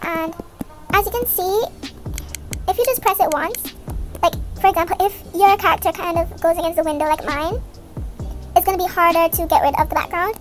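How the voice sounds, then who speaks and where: A woman's voice: extremely high pitch, fairly quick, pauses often. A young woman giving a casual, step-by-step tutorial as a video creator in a voice-over. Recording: music behind the voice. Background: background music.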